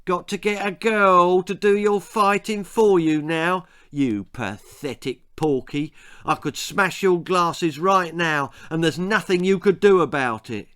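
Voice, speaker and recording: A man's voice: high-pitched, unhurried, fairly bright tone, smooth, pitched above the performer's own voice. A man voicing a cruel schoolboy bully with a taunting, sneering manner, in a children's storybook reading. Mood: angry snarling. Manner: taunting, sneering, mock baby-talk.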